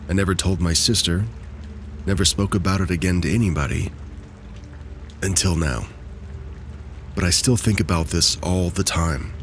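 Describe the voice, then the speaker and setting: A man narrating submitted true spooky stories in a measured, scripted way. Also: low-pitched, slight background noise.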